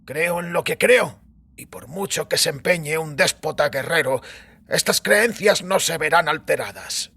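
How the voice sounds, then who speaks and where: A man playing an aging, stubbornly faithful priest with a husky voice and courteous, formal speech. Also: fairly high pitch, a little slow, bright, forceful tone, full character voice. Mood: resolute. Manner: formal, courteous, archaic address.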